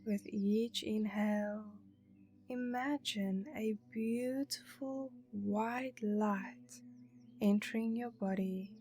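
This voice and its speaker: A woman narrating a guided meditation in a soothing, hushed manner with breathy phrase endings. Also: medium pitch, steady tone, slow. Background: meditation music.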